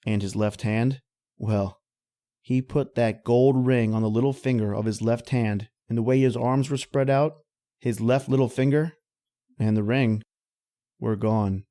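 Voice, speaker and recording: An actor playing a middle-aged former oil-rig worker, telling an old-time radio horror tale in folksy, slangy, hesitant speech. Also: male voice, medium pitch, fairly even intonation, measured pace, dark tone, lightly acted. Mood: haunted storytelling.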